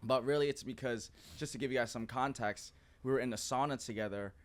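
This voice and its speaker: A man's voice: mid-range voice, a little fast. A man hosting a podcast, opening the show upbeat and asking his guest curious follow-up questions. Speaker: podcast host. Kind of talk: casual podcast chat.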